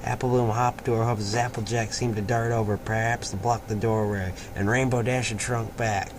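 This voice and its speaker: A man's voice: lowish voice, fairly even intonation, normal speed. A man reading a story aloud in an informal amateur way, sometimes stumbling over words and repeating them. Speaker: fan fiction reader and host. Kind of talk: amateur read-aloud of a story with casual asides. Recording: noisy amateur home recording.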